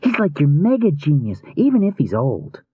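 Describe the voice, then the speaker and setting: A man playing a high-pitched, slangy young boy. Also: huge pitch swings, normal speed, slightly rough, dry recording, dull audio, exaggerated voice. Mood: enthusiastic. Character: cool, opinionated young boy, music fan. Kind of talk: audiobook character dialogue.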